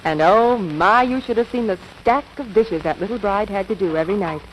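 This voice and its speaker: A woman's voice: medium pitch, brisk, close to an everyday voice. A woman playing a chatty storyteller in a 1940s radio advertisement, gushing like she is telling a bedtime story. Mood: gushing, confiding. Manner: gushing, theatrical storybook delivery. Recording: noisy old radio broadcast recording.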